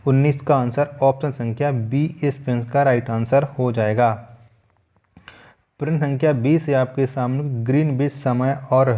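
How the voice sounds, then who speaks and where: A man's voice: medium pitch, fairly even intonation, normal speed, dark tone. A man teaching a quiz lesson, methodically reading out questions, asking them again and repeating the answers. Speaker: exam coaching teacher.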